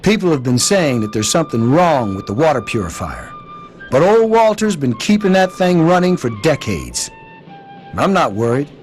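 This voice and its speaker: A man's voice: on the high side, big pitch swings, normal speed, soft background music. An actor playing a folksy wasteland trader and protective father figure in a video game, with an American accent and a drawling manner. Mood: proud, easygoing.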